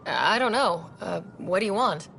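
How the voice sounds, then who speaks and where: Wry young space explorer. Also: female voice, medium pitch, expressive, normal speed, fairly bright tone. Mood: guarded, dry. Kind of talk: video game dialogue. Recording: clear background noise.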